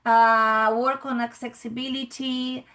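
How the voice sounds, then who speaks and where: A middle-aged Brazilian woman, an anthropologist speaking English with a Brazilian Portuguese accent at a panel talk, halting often with restarts and fillers. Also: on the high side, little pitch movement, a bit bright.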